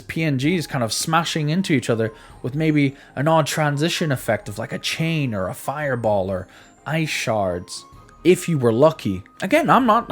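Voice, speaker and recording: Higher-pitched man reviewing games over music. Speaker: video game reviewer. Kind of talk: casual review commentary. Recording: background music under voice.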